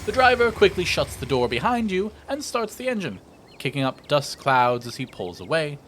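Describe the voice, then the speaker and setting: A man's voice: on the high side, big pitch swings, moderate pace, fairly bright tone, a little hiss or hum. A man narrating a visual novel playthrough, reading theatrically with casual asides and the odd stumble he corrects. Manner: theatrical read-aloud, stumbles over words and self-corrects. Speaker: let's player reading a visual novel aloud.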